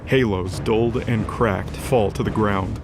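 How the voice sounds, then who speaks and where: Male narrator for fantasy audio drama, low voice, scripted dramatic read over music. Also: steady tone, a little slow. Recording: music bed behind voice.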